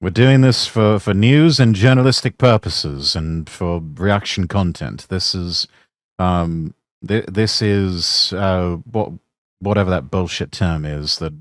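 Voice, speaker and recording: Deep-voiced man casually reacting to clips. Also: slow pace, dry recording, studio quality. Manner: casual, hesitant, profane asides.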